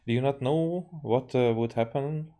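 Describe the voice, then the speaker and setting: A man, a game streamer reading dialogue aloud haltingly and correcting himself as he goes. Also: mid-range voice, mellow. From Hungary.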